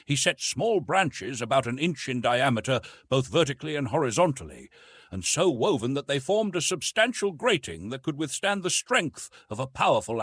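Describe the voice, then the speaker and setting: Expressive, husky male audiobook narrator. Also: medium pitch, no room sound, studio quality. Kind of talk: scripted narration of classic adventure fiction.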